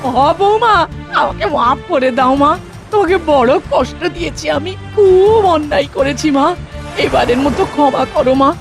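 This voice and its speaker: A woman voicing a cruel, sharp-tongued sister-in-law in a cartoon who taunts and scolds, with music behind her. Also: high-pitched, animated, moderate pace. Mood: pleading. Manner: taunting, scolding, then pleading. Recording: cartoon dub over music.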